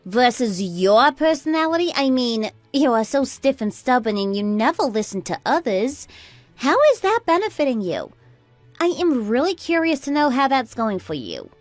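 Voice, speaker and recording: A woman playing a smug, catty sister-in-law in a radio drama, preachy and boastful in an animated way. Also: high-pitched, moderate pace, dry recording, faint music behind. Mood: preachy, scolding. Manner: animated, preachy, boastful, swooping into indignant questions. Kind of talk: scripted radio drama dialogue.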